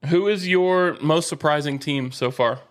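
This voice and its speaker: A man co-hosting a podcast in casual sports chat, steady and explanatory, slowing down to stress a point. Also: on the high side, smooth. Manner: steady, explains and asks questions, slows for emphasis. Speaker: podcast co-host.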